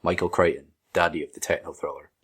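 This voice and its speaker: A Scottish man with a husky voice, reading aloud with casual, deadpan asides. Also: low voice, fast. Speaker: reader on a group fan fiction reading show.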